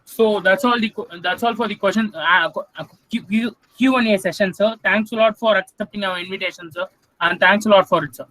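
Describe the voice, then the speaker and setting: A man's voice: high voice, a little fast, pushed and bright, rough recording. A young man with an Indian English accent coordinating a webinar Q&A over a video call, polite, saying "sir" often and stumbling over words. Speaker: student event coordinator. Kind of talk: hosting a webinar Q&A.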